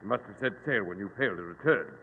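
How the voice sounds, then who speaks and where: An actor playing a menacing, boastful pirate captain in formal, grandiloquent old-time radio drama style. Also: male voice, lively intonation, fast, long reverb tail, natural voice. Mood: cold menace. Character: menacing, boastful modern pirate captain holding captives at gunpoint. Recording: muffled, noisy old radio recording, boomy.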